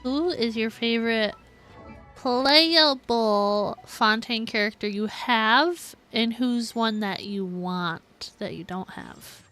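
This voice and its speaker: A woman's voice: a little high, slow pace, bright, forceful tone, soft background music. A woman streaming a video game, chatting casually and giggly with her viewers. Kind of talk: casual livestream chat with viewers.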